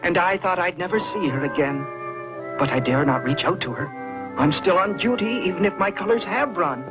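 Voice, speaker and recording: A dutiful little tin soldier in an old storybook cartoon, very high and polite in a proper, stiff-upper-lip way. The sound is dull and lo-fi, with loud music behind. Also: male voice, expressive, moderate pace. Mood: wondering. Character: brave, dutiful male tin soldier toy.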